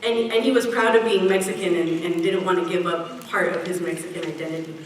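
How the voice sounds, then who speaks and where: A middle-aged woman giving a prepared speech at a community event, measured and earnest, slowing down to stress key phrases. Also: fairly low pitch, steady tone. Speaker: event speaker. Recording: echoey hall, picked up from a distance, faint hiss.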